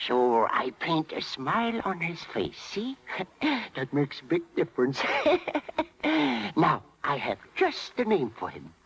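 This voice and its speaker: Slow, kindly old woodcarver. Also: male voice, a little high, sing-song, a bit bright, a little hiss or hum, heavily acted. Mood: playful, fond. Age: older. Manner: doting, chuckling, musing. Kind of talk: 1930s radio acting. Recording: vintage radio broadcast, music behind.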